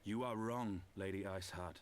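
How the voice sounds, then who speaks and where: An actor playing a stern, grave wandering knight in a fantasy game, speaking deliberately in formal, archaic language. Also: male voice, low voice, a little hiss or hum, close to an everyday voice. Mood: grave, firm. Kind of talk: theatrical fantasy game acting.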